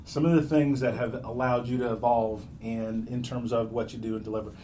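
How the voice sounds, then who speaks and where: A man's voice: medium pitch, steady tone, brisk, mellow. A man hosting a podcast with casual, conversational interviewing. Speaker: podcast host. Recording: faint background noise.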